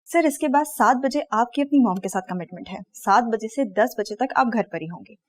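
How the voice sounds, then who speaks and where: An actress playing an eager, anxious secretary who speaks deferentially, in a comedy drama. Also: female voice, a little high, normal speed, close to an everyday voice. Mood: eager, dutiful. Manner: deferential, addresses superior as sir constantly.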